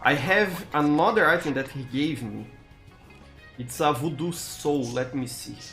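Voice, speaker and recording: A man with a Brazilian accent, a native Portuguese speaker, chatting casually on a gaming live stream in a halting way, stammering and repeating words. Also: fairly high pitch, a bit bright, faint music behind. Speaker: live-streamer hosting gameplay.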